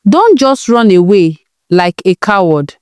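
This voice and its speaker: A woman performs a protective older mother figure in a storybook tale. She is urgent and indignant, with a very lively, swooping delivery recorded dry. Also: medium pitch, unhurried, smooth, no echo. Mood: firm counsel.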